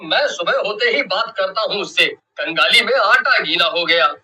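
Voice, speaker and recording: A man acts out a worried young husband in a haunted-house cartoon. He grumbles and frets in a high, piercing voice. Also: expressive, normal speed, bright, forceful tone, husky, full character voice. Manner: fretful, complaining. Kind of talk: animated horror story dialogue.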